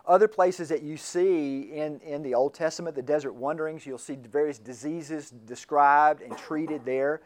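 A man's voice: fairly high pitch, moderate pace, few pauses. Chatty man lecturing on missions. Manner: conversational, repeats words while restarting sentences. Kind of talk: classroom lecture.

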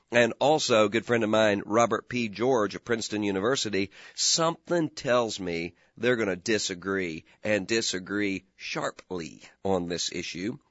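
A male talk radio host with a hoarse, gravelly voice, pitched on the low side. He speaks earnestly and gives his views, now and then stumbling over the first word of a sentence. The sound is dry and close, a little dull. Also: dry recording. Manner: earnest, opinionated, repeats words when starting. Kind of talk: political talk radio commentary.

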